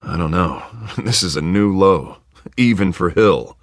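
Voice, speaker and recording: A male politician on the campaign trail in a video game. His voice is low and closely recorded with no room sound. He comes across earnest, affable and a little harried. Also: lively intonation, a little slow, no room sound, studio quality. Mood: worried.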